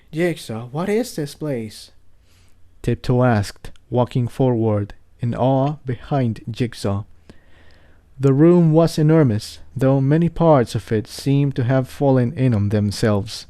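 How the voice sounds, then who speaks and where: A man reading an adventure story aloud as narrator, in a measured, earnest way. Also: medium pitch, mellow, clear, resonant tone, no room sound, studio quality. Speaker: amateur fan fiction reader.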